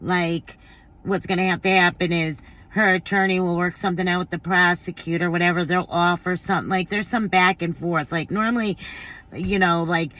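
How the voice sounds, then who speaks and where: Slightly low-voiced woman, blunt and informal. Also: steady tone, moderate pace, fairly bright tone, rough recording. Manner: blunt, informal, slangy, thinking aloud. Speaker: commentary vlogger. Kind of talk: casual opinion commentary on a court case.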